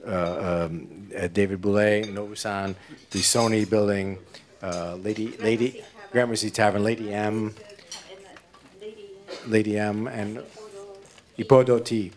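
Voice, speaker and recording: A man's voice: lowish voice, little pitch movement, measured pace, a little mellow. A man emceeing a gala dinner, thanking restaurants and chefs in a friendly way. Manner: friendly, listing names with small stumbles. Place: banquet hall.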